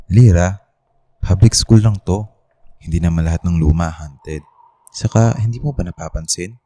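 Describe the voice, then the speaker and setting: A man's voice: low-pitched, moderate pace, dark tone. A young man playing an uneasy student from the provinces in a Filipino radio drama, hesitant and earnest. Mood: reassuring, uneasy. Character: uneasy young male provincial student who senses ghosts.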